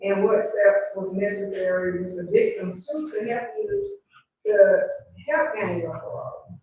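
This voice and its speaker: A woman giving an unscripted panel answer as a former prisoner, deliberately listing her points. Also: mid-range voice, animated, a little mellow. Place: live community event in a reverberant room. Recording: echoey hall, distant mic, faint noise.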